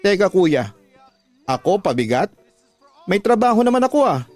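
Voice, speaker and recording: An exasperated young man played by a man stands up for himself in a radio drama. He sounds indignant and pleading, with a high pitch and music behind him. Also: very animated, moderate pace, a little mellow, no echo. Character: exasperated young male newcomer defending himself. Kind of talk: Tagalog radio drama acting.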